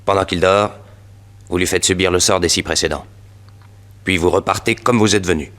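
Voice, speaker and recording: An actor in a 1960s French radio drama playing a cold, mysterious mastermind, measured and matter-of-fact. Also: male voice, low-pitched, steady tone, fairly bright tone. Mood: cold, calculating. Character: cold, mysterious male mastermind hiring a killer.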